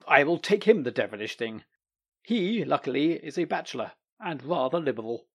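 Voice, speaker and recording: A man voicing a fussy middle-aged male doctor in a storybook reading, speaking emphatically with a slightly husky voice. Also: on the high side, lively intonation, moderate pace, fairly bright tone, heavily acted. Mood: pondering. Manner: emphatic, indignant.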